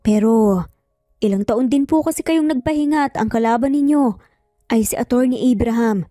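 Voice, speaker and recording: A woman voicing a worried female client who speaks calmly, hesitantly and with deference in a dramatic story. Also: a little high, moderate pace, no room sound, clean studio sound, faint music behind, close to an everyday voice. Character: worried woman client, polite, calm and doubtful.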